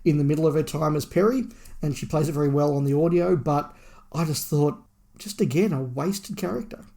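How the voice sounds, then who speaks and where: Gravelly-voiced man, podcast co-host. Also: on the high side, a little fast, warm tone. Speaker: podcast co-host reviewing audio drama. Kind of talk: casual review discussion.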